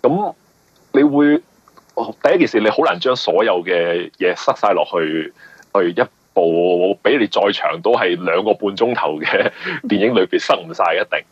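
A man's voice: low voice, expressive, normal speed, slightly rough, no room sound. A man with a Hong Kong accent giving casual interview answers as a novelist, explaining at length and often rephrasing. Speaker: mystery novelist, interview guest. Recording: dull lo-fi radio interview audio.

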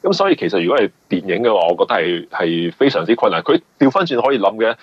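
A man's voice: fairly low pitch, very animated, fast talker, husky, no echo. A man with a Hong Kong accent giving casual interview answers as a novelist, explaining at length and often rephrasing. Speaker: mystery novelist, interview guest. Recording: dull lo-fi radio interview audio.

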